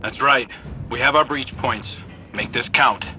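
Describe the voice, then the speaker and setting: A man's voice: medium pitch, a little slow, sharp tone, faint music behind. A man voicing a determined, coolly confident soldier in a video game, giving terse, commanding orders. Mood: focused, commanding. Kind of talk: video game cutscene acting.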